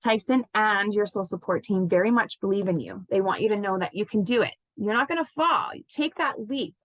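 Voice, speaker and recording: A woman giving a spiritual card reading as an intuitive healer, speaking in gentle, reassuring, halting phrases. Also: medium pitch, no echo, poor audio. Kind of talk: spiritual card reading addressed to named listeners.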